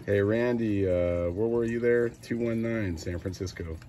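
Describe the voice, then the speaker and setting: Low-voiced American CB operator, heavy noise. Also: male voice, unhurried. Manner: clipped, repeats call signs. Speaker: CB radio operator. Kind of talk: casual radio call-outs.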